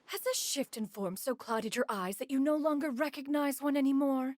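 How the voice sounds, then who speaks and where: A woman's voice: high-pitched, normal speed, a little mellow, lightly acted. A woman voicing an ancient, proud bird spirit in human form who speaks in formal, archaic language, in game cutscene dialogue. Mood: stately. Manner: formal archaic speech, calls herself "one".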